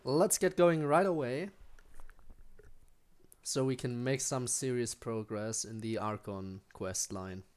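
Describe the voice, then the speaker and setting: A man's voice: mid-range voice, slow, darkish tone, clear, resonant tone. A young man with a German accent, a native German speaker, casually chatting with his chat while streaming a game, with frequent ums and restarts.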